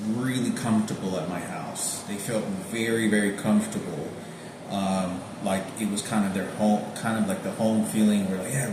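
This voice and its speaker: A man with a fairly low voice delivers a rambling personal monologue. He talks haltingly, circling back and repeating himself as he searches his memory. The sound is heavily echoed, with clear noise behind him. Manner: halting, repetitive, searching for names. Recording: very echoey room with noticeable background noise.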